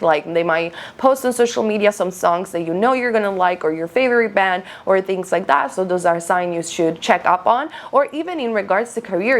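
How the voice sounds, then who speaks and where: A woman giving a conversational tarot reading to viewers, emphatic and repeating phrases, her voice recovering after being lost. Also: mid-range voice. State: recovering from voice loss. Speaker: tarot reader, online host.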